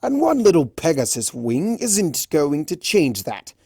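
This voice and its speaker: A brash cartoon stallion put on by a story reader, scornful and argumentative. He speaks loudly, with his pitch pushed up in confrontation. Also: big pitch swings, a little slow, slightly rough, no room sound, clean studio sound, full character voice. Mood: scornful shouting. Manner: loud, confrontational.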